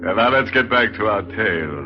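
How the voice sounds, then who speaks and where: An actor playing a ghoulish horror host on 1940s radio, sardonic and full of mock sympathy and morbid humor. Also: male voice, on the low side, animated, moderate pace, muffled recording, over music, natural voice. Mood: gleefully morbid. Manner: sardonic, chuckling dark humor.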